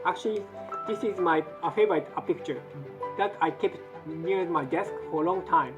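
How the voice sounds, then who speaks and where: A woman's voice: on the low side, moderate pace, highs cut off. A woman from Japan with a Japanese accent and a slight speech impediment, giving a personal testimonial. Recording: music under the voice. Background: background music.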